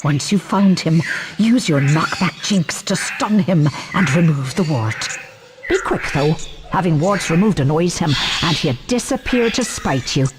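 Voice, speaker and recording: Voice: mid-range voice, animated, measured pace, natural voice. An actor playing a businesslike magical pet shop keeper in a video game, chatty and instructive. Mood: brisk, friendly. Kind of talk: scripted game cutscene dialogue. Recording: noisy game audio with clicks.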